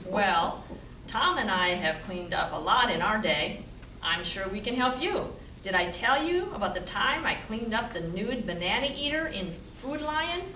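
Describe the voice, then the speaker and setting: A chatty woman investigator, played by an amateur in a radio play. She has a medium pitch and sounds roomy and distant. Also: animated, unhurried, pushed and bright. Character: chatty detective's wife and chief investigator. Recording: roomy, distant mic, clear background noise.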